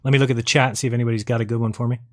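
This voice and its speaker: A man's voice: medium pitch, little pitch movement, rapid-fire. A man hosting a live online show in a casual, rambling and blunt way, thinking aloud as he goes. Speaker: host of a live online debate and call-in show. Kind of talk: casual, rambling livestream chat, sometimes reading viewer comments.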